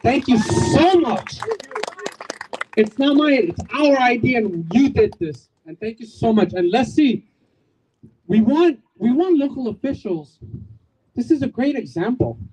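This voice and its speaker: A man's voice: extremely high pitch, expressive, unhurried, a little mellow, husky, low-quality recording. A man from Bangladesh giving an emphatic outdoor community speech, rallying the crowd with repetition and jokes. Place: outdoors at a street corner.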